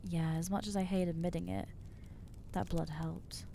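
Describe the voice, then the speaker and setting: Girl doing an anxious new lady vampire for asmr roleplay, mellow voice, hesitant n guilty, kinda exasperated, faint rumbly noise behind. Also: fairly even intonation, vocal fry. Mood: bitter, wary. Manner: hesitant, halting, self-doubting, wryly exasperated. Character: anxious newly turned female vampire, guilty and overwhelmed. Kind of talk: ASMR roleplay acting to the listener.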